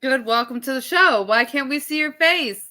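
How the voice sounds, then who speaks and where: Bright, loud-voiced woman podcast host. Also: high-pitched, normal speed. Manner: loud, animated, laughs often. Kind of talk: casual chat. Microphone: live stream setup.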